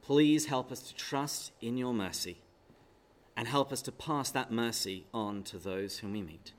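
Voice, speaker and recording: A man's voice: mid-range voice, measured pace. Joking English man quizzing a crowd. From England. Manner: jokey and chatty, quizzes the audience, then leads prayer solemnly. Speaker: speaker at a parish talk. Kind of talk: humorous church talk to a live audience. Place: hall with an audience.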